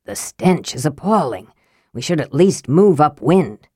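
Cold, sly male wizard for a fantasy storybook, played by a woman. Low, smooth, measured, aloof. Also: sing-song, mellow, no echo, clean studio sound, exaggerated voice. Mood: disdainful, cool.

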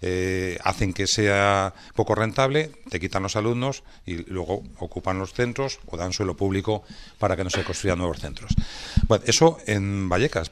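Guy on a debate panel, lowish voice, explaining things like an activist. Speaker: panel speaker at a public debate.

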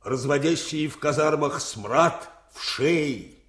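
Актёр играет чопорного, угодливого графа, докладывающего императору, в советской радиопостановке. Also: male voice, fairly high pitch, takes it slowly, fairly bright tone. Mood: indignant, reporting. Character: stiff, servile count informing on others to his emperor. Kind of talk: 1980s Soviet radio drama acting. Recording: roomy radio drama studio echo.

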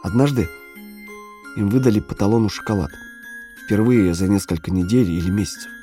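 Low, mellow male narrator. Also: brisk. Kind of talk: scripted literary narration for audio drama. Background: faint music.

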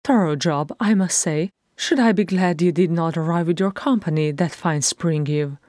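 A woman's voice: lowish voice, normal speed, darkish tone, no room sound, studio quality, natural voice. A woman voicing a fussy, scolding middle-aged male hobbit in a fan audiobook reading, chiding and exasperated.

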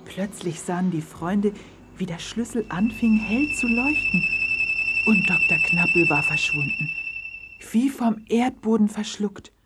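A woman with a husky, breathy voice narrating a children's story in a dramatic, suspenseful way. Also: medium pitch, lively intonation, unhurried, heavy background noise.

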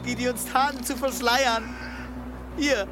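An anguished monk, halting repetitive speech, guilt-laden, radio drama, music underneath. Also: male voice, extremely high pitch, steady tone. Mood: guilt-ridden. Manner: halting, repeating himself. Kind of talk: German radio drama acting.

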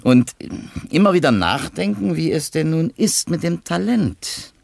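Radio drama, male: a curious, uneasy intellectual editor, reflective phrasing, pitch swinging widely. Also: lively intonation, measured pace, vocal fry, a little mellow, full character voice. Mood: reflective, searching. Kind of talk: 1970s East German radio play acting.

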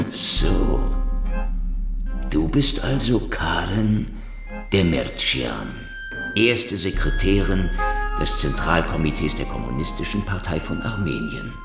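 An actor playing a cynical Soviet secret police investigator in a German radio crime drama, with a sardonic, taunting, theatrical delivery. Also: male voice, low-pitched, big pitch swings, takes it slowly, creaky, roomy sound, music in the background, full character voice. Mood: sardonic, menacing.

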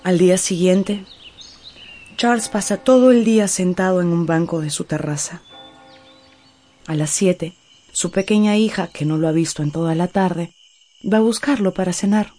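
A woman narrates a radio novel in a measured, dramatic storytelling manner with weighted pauses. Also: fairly low pitch, mellow. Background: music.

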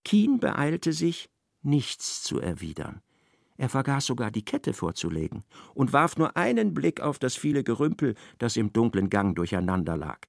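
A man narrating a literary radio play in a dramatic, expressive, scripted style. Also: mid-range voice, moderate pace, creaky, no echo, studio quality.

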